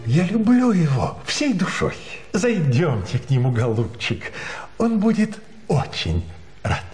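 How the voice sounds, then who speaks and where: A fawning young nephew in a radio play, gushing with sentiment. He speaks slowly with hugely swooping pitch in an echoey space. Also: very animated, a little mellow, soft background music. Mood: gushing, tender. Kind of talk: audio drama acting.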